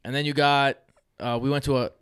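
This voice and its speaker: A man's voice: mid-range voice, a little fast, clear, resonant tone, no echo, studio quality. A man, hosting a fantasy football podcast in loose, joking chat with his brother. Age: 30s. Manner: animated, laughs often, loose and joking.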